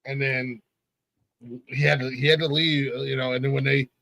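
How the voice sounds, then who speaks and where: A man's voice: medium pitch, little pitch movement, moderate pace, gravelly, a bit bright, no room sound, rough recording. A man in his forties hosting a music podcast, chatting casually, stammering and laughing as he rambles. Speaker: podcast host. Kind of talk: casual chat.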